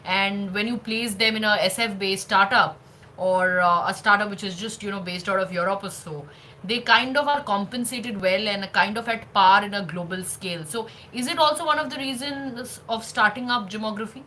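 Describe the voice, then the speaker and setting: A woman's voice: medium pitch, normal speed, bright, forceful tone, a little hiss or hum. A woman hosting an interview show in a conversational, wordy way, often padding her phrases.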